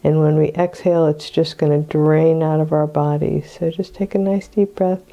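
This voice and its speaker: An older woman with a cold, hoarse and clearing her throat, guiding a meditation in a slow, soothing way. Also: sits low, fairly even intonation, mellow. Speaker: meditation guide. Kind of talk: guided meditation.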